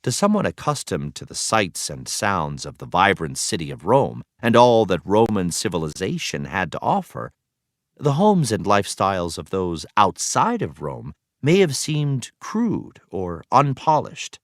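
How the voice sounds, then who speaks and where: A man reading an educational text aloud as a teacher, in an explanatory way with clear phrasing for young learners. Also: on the low side, sing-song, measured pace, dry recording, studio quality. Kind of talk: read-aloud educational narration.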